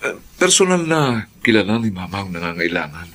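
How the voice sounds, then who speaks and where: A man acting as a supportive, soft-hearted young suitor in a Filipino radio drama, with gentle, hesitant phrasing. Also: lowish voice, big pitch swings, brisk. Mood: curious, concerned.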